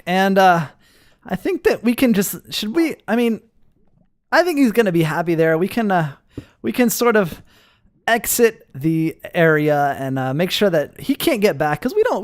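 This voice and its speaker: Man with a very lively, swooping delivery. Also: high-pitched, no echo, studio quality. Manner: excitable, playful, swooping. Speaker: gaming video host. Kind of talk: casual Minecraft roleplay commentary.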